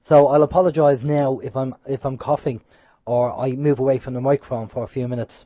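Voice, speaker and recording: A man's voice: medium pitch, fairly quick, dry recording. A man co-hosting an internet radio show with a sore throat and a slightly nasal voice, chatting casually and rambling a little. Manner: chatty, rambling, lively openings then trailing off. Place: radio studio. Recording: dull lo-fi sound, dry studio.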